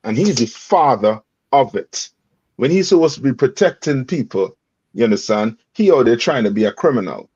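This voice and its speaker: A man's voice: medium pitch, animated, normal speed, darkish tone, breathy edge. A man leading a scripture reading, breaking in with emphatic commentary and rhetorical questions. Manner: emphatic, rhetorical questions, repeats "read on" and "you understand". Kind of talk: call-and-response scripture teaching. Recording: dull lo-fi dry sound.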